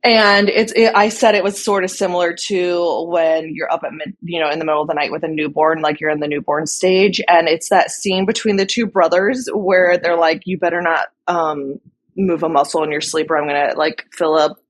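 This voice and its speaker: A woman's voice: deep voice, fairly even intonation, moderate pace. A woman from North Carolina with an American accent, chatting casually as a podcast guest in a slangy, self-deprecating, joking manner. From North Carolina, United States. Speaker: podcast guest, nurse anesthetist and content creator.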